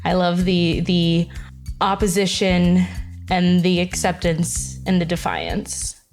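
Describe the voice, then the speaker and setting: A woman's voice: fairly low pitch, lively intonation, slow pace, a little mellow, soft background music. A woman chatting casually as a podcast panelist in a playful, emphatic and sardonic way. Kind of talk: casual chat.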